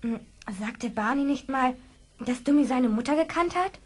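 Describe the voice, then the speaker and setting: A thoughtful, high-voiced girl. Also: animated, moderate pace. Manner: pondering, hesitant hums. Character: thoughtful, careful girl among friends. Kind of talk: children's radio play acting. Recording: old slightly noisy radio play.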